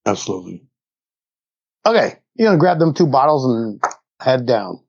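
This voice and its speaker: A man's voice: mid-range voice, very animated, normal speed, gravelly, dry recording. A man playing a laid-back, wry male smuggler in a fantasy tabletop game, speaking casually over slightly dull audio. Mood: businesslike.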